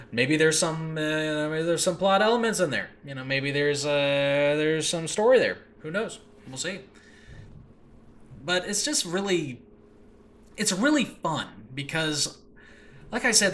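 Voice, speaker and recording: A man hosting a solo podcast, chatting casually and excitedly about his own creative project in an animated, off-the-cuff way. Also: medium pitch. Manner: enthusiastic, animated, peppered with chuckles and mild swearing. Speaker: podcast host and audio drama creator. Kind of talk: casual solo rambling chat about a show in progress. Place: indoors in a makeshift home space. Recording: faint background noise, makeshift home recording space.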